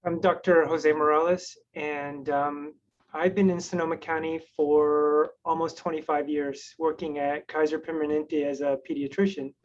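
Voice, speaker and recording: A man's voice: a little high, flat delivery, normal speed. A middle-aged or older man from Miami with an American accent, a pediatrician introducing himself on a panel. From Miami, United States. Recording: dull, lo-fi, with background noise.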